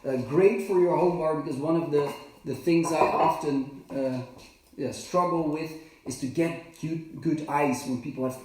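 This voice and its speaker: Halting bartender in an echoey kitchen. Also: male voice, a little high, steady tone, darkish tone, distant mic. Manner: halting, many fillers and restarts. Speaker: bartender and cocktail trainer. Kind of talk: casual live demonstration. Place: home kitchen. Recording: very echoey, from off the mic.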